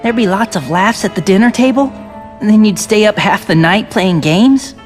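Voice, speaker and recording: A woman's voice: medium pitch, normal speed, faint music behind, close to an everyday voice. A woman voicing a cheerful, boyish young soldier in a video game, talking in an earnest, informal, chatty way. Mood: chatty, wistful. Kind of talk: English video game voice acting.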